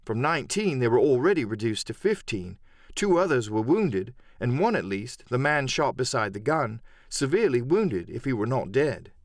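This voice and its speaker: A man reads an adventure novel as a dramatic audiobook. His mid-pitched voice moves with plenty of expression while staying measured and story-like. The recording is dry and close. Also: very animated, no echo. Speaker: audiobook narrator.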